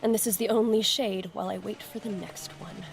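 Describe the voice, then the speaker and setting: An actress playing a sharp-tongued, scornful rival wife in an audio drama, with a dry, cutting, sardonic delivery. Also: female voice, mid-range voice, fairly even intonation, brisk, creaky, slight background noise, natural voice. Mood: dry, defiant. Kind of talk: audio drama acting, period dialogue.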